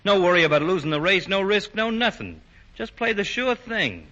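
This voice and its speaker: Slick, menacing racetrack crook, hard-edged voice. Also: male voice, sits high, very animated, moderate pace, bright, forceful tone, exaggerated voice. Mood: sly, persuasive. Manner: insinuating, slangy tough talk. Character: sly, slick crooked horse owner, coolly menacing. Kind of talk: 1940 radio drama acting. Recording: dull vintage 1940 radio recording.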